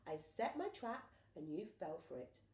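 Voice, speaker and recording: A woman voicing a heroic male potato superhero who shouts boldly, in an echoey room. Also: fairly low pitch, sing-song, moderate pace. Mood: triumphant. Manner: shouting, triumphant.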